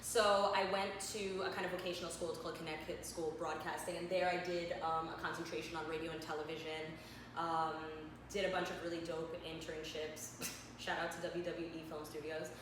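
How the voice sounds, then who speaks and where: A young woman from Manhattan, co-hosting a casual chat, animated and laughing, with lots of fillers. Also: sits low, a little fast, heavy reverb, background noise. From Manhattan, New York. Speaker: co-host.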